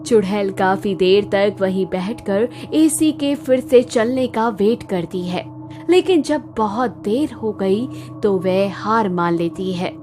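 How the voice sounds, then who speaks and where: A woman's voice: medium pitch, animated, takes it slowly, a little mellow, faint music behind. A woman narrating a scripted cartoon story as its storyteller.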